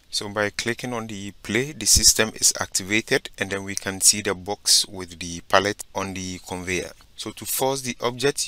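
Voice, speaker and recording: Low, bright-toned man teaching software. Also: dry recording. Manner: step-by-step explaining, frequent "okay" and "so basically". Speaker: instructor. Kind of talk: screen-recorded software tutorial.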